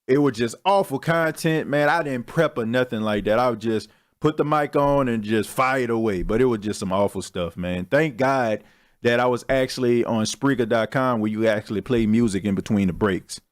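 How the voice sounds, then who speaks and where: A young man hosts his own podcast alone, chatting loosely and candidly. He keeps it slangy and pokes fun at himself. His voice sits at an average pitch for a man. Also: normal speed. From Texas. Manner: candid, slangy, self-mocking. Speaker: podcast host. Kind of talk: casual solo podcast monologue.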